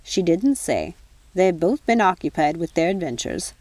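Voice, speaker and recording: A woman's voice: fairly low pitch, very animated, normal speed, a little mellow, dry recording. A woman voicing a weary, sensible fairy nanny in an audiobook, with restrained, dry patience. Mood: weary, placating. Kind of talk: audiobook character voice.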